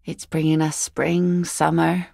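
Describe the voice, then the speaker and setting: A woman voicing an easygoing, philosophical seafaring mother in a video game, with a wry, gently teasing, folksy manner. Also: deep voice, level tone, slow, vocal fry, no echo, studio quality, heavily acted. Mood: wistful, musing. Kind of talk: naturalistic video game dialogue acting.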